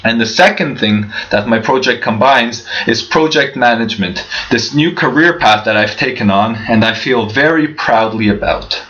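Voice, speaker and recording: A man's voice: mid-range voice, normal speed, few pauses, pushed and bright. A young man giving a prepared keynote speech, earnest, with rhetorical questions and frequent restarts. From Canada. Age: young adult. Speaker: keynote speaker. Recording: dull lo-fi livestream audio.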